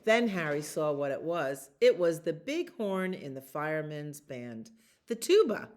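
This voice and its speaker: A woman reading a children's storybook aloud as a librarian at storytime, in an expressive, deliberate way that draws out repeated words. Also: deep voice.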